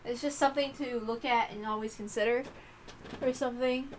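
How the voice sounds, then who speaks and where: A woman hosting a read-along, giving casual commentary in a rambling, halting way that often interrupts itself. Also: a little high, steady tone, slight background noise. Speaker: host reading a visual novel aloud.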